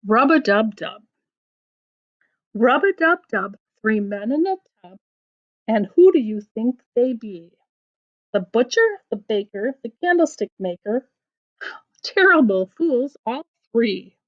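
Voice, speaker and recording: Female children's read-aloud storyteller, higher-pitched and very animated, deliberate sing-song phrasing, slightly muffled lo-fi recording. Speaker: teacher reading aloud to children. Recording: dull lo-fi sound.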